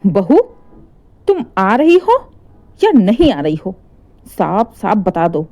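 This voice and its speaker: A woman voicing an angry, harried older mother-in-law in a story, complaining in a sharp, exasperated manner. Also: mid-range voice, sing-song, slow. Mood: angry, curt.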